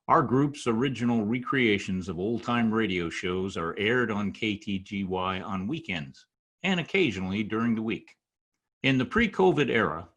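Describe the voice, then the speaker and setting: A man reading a scripted station introduction in a formal, measured announcer's manner. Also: fairly low pitch, a bit bright. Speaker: community radio content director introducing a show. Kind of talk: scripted introduction and fundraising appeal.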